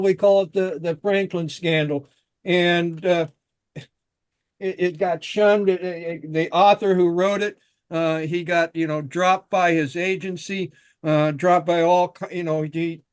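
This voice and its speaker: Guy hosting a podcast, pretty high smooth voice, stumbles and repeats words as he goes. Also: fairly bright tone. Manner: halting, stammering, repeats words. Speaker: podcast host interviewing guests. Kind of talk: casual, conversational interview.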